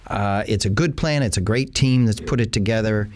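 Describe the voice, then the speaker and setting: Low-voiced man asking long questions. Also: normal speed. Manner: long, rambling questions with fillers. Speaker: interviewer. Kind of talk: interview questions.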